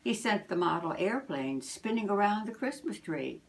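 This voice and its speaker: An older woman, a grandmother, reading a children's storybook aloud in a deliberate, expressive way. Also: mid-range voice, gravelly.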